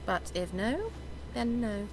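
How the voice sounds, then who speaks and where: Haughty, flirtatious sorceress, swooping delivery. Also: female voice, medium pitch, sing-song, slow, a little hiss or hum. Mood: seductive, then scornful. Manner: theatrical, teasing, imperious.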